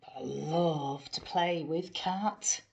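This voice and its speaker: A woman's voice: deep voice, takes it slowly, vocal fry, a little mellow, muffled recording, full character voice. A woman voicing an earnest, pleading, guilty dog in a storybook for young children. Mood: earnest, torn. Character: eager, guilty, well-meaning naughty pet dog.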